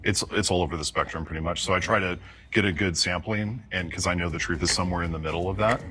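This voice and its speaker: A man from Texas, a father on a panel, talking in a measured, plain-spoken way. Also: low-pitched, sharp tone, faint music behind, rough recording.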